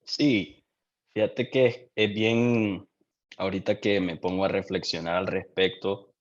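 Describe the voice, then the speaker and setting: Young Honduran man, very low voice, lo-fi muffled sound, thoughtful interview guest. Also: steady tone. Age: young adult. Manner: reflective, unhurried, with frequent restarts. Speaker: guest, doctoral student. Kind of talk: casual interview answers.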